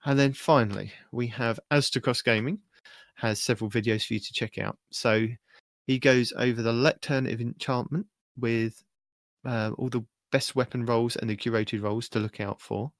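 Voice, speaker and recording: A man's voice: mid-range voice, steady tone, moderate pace, no room sound. Casual male gaming podcaster, dry close sound. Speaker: podcast co-host. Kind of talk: casual gaming podcast chat, running through video guides.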